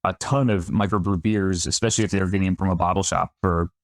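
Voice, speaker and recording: A man in his thirties from upstate New York talks as a podcast guest in a very low voice. He is chatty and self-deprecating, often restarting his sentences. The sound is dry and close, with no room echo. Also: fairly even intonation, quick pace. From Finger Lakes region of New York. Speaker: winemaker guest. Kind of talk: conversational podcast interview.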